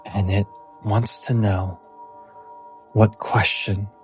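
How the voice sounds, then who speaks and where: A man narrating a fantasy tabletop game as game master, in a deliberate, dramatic way with pauses. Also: fairly low pitch, steady tone. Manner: slow, deliberate, pausing phrasing. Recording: music playing behind the voice. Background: music.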